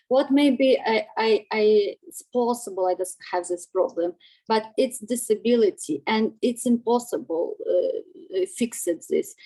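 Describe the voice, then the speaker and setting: A woman with a Ukrainian accent speaks haltingly, searching for words in English and filling pauses with hesitations. Her voice sits at an ordinary pitch for a woman. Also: mellow, low-quality recording. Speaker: workshop participant.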